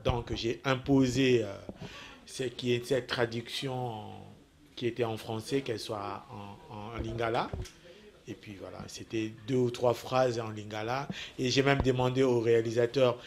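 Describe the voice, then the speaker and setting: A man from the Democratic Republic of Congo answers questions as a film producer. He talks haltingly, circling back over his own phrases and slipping in Lingala words now and then. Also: medium pitch, steady tone. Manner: hesitant, repeats himself, mixes in Lingala. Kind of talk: TV interview, casual answers.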